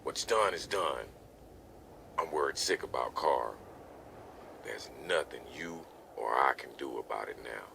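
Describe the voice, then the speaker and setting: A man voicing a steady, reassuring survivor of a zombie apocalypse in a motion comic, measured and consoling. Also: a bass voice, gravelly, a little hiss or hum, full character voice. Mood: consoling, grave. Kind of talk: comic book voice acting.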